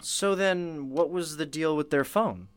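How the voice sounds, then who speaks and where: An actress playing a curious, chatty interviewer in a radio play, with a casual, reactive way of asking questions. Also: female voice, sits low, a little slow, dry recording.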